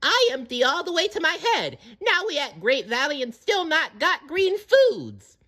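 A narrator voicing a small excitable flying dinosaur in a storybook, whining in broken grammar. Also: high-pitched, unhurried, voice pushed up, exaggerated voice. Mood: complaining. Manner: broken grammar, whiny exclaiming.

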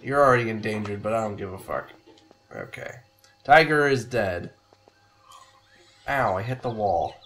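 A man's voice: fairly low pitch, expressive, moderate pace, long pauses, fairly bright tone. A man in his thirties chatting casually and joking with lots of swearing as he plays a video game on a couch. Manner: rambling, joking, heavy swearing. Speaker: let's play host playing a video game. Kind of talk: casual chat and gameplay commentary. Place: living room couch, indoors.

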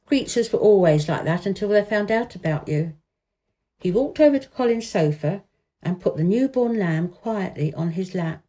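A woman storyteller giving an expressive narration of a children's book. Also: mid-range voice.

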